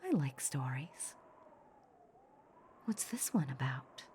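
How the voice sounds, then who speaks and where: Woman acting a low, breathy, seductive vampire mistress. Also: sing-song, a little hiss or hum, exaggerated voice. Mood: amused, smug. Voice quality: breathy, often half-whispered. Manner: slow, teasing, condescending purr. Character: seductive, possessive female vampire, teasing her human captive. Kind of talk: intimate roleplay audio drama. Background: soft ambient sound effects.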